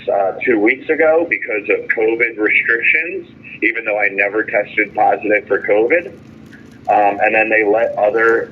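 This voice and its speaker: A man calling in by phone with a halting, aggrieved, sardonic account in casual speech, as a jailed caller giving an update. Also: on the low side. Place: jail phone call. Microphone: telephone. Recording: tinny noisy phone line.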